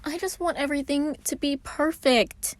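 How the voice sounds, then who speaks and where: A woman's voice: sits high, moderate pace, voice pushed up, natural voice. A woman voicing an eager, dramatic, exuberant young man, a fussy boyfriend, in an audio story. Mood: eager, cheerful.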